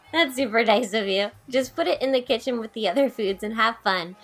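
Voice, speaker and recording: A woman's voice: a little high, expressive, normal speed, bright, forceful tone, faint music behind, exaggerated voice. A woman playing a peppy, friendly young mother in an audio drama, chatting in a chirpy way. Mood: cheerful, welcoming.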